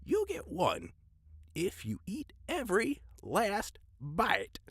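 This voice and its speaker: A man's voice: sits high, wildly swooping pitch, slow pace, fairly bright tone, breathy edge, dry recording, studio quality, full character voice. A man playing a cantankerous, folksy old grandpa in an audio drama, blustering with old-timey exclamations. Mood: gruff but caring.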